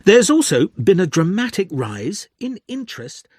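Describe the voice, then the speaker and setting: A man delivering a scripted academic lecture in a measured, clearly articulated manner. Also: sits high, wildly swooping pitch, darkish tone, dry recording, studio quality. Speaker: lecturer in a listening exam recording.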